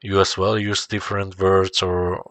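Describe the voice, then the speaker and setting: A man's voice: low-pitched, little pitch movement, measured pace, a bit bright, no echo. A man from Spain whose native language is Spanish, chatting casually and searching for his words as he goes. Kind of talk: casual chat.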